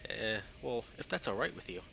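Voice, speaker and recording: A man voicing a nervous young male animal character in a visual novel, halting, polite and insistent. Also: lowish voice, lively intonation, vocal fry, pushed and bright, exaggerated voice. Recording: dull lo-fi microphone, faint noise.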